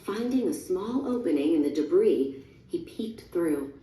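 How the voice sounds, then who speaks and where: A woman narrates a read-along storybook in a soft, dark-toned voice. Her pitch sits on the low side, and the recording is roomy, with music playing underneath. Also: expressive, unhurried, distant mic.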